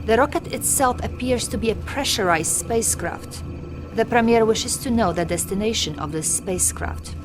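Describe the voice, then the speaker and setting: An actress playing a composed female Soviet military intelligence officer, giving measured, formal mission briefings in a video game. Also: on the high side, natural voice. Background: music.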